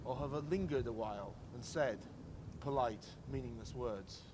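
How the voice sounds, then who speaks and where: Clear urban noise with vehicles surrounds a man reciting verse outdoors. His voice sits at a middle pitch for a man. He phrases the lines in a measured, declamatory way, as a reader at a public commemoration. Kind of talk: poetry recitation. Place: outdoors in a city square.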